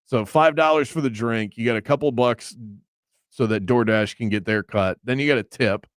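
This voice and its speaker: A man's voice: mid-range voice, normal speed, dry recording. A man hosting a sports radio show from the studio, chatting casually and teasing his producer. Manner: chatty, teasing, thinks aloud. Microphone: studio mic.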